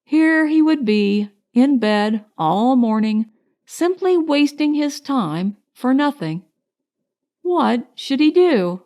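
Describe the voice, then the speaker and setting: A woman narrating a children's storybook in a measured, expressive storytelling manner. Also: sits high. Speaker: audiobook narrator.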